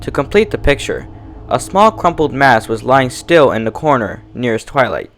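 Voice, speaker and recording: A man narrates a scripted horror tale as a storyteller. His delivery is animated, at a middling pitch, with faint noise behind him. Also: moderate pace. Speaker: fan fiction narrator.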